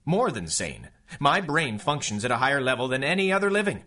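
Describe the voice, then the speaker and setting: Haughty criminal genius with swooping pitch. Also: male voice, sing-song, a little fast, sharp tone. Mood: indignant. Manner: pompous, verbose, condescending. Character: arrogant male criminal genius, haughty asylum inmate. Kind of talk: radio drama acting in 1930s pulp serial manner.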